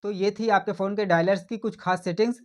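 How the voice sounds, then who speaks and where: A man's voice: sits high, steady tone, fast, a little mellow. A man hosting a tech tutorial. He walks patiently through phone settings step by step, repeats his points and keeps pointing things out.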